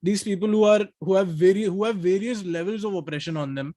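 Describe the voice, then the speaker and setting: A man with an Indian accent and a noticeably high voice talks casually about film as a podcast co-host. He is enthusiastic and rambling, repeating words while he thinks. The sound is very dry, with no room to it. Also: fast, warm tone, no room sound.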